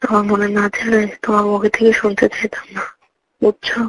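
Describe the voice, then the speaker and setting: A woman's voice: medium pitch, monotone, a little fast, a bit bright. A young woman talking casually and intimately over the phone. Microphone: phone call. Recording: noisy phone call with clicks.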